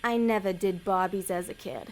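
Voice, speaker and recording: A woman playing a tough-talking, sarcastic demon hustler in an audio comedy drama, deadpan and streetwise. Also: medium pitch, moderate pace, lightly acted, low-quality recording.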